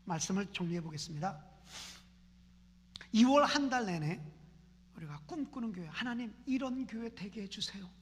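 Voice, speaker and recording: A woman's voice: medium pitch, expressive, moderate pace, pauses often, a little hiss or hum. Fervent woman pastor preaching in a reverberant hall. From Seoul, South Korea. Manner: fervent, repeats phrases in rising prayer chants. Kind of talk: impassioned sermon. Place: church sanctuary.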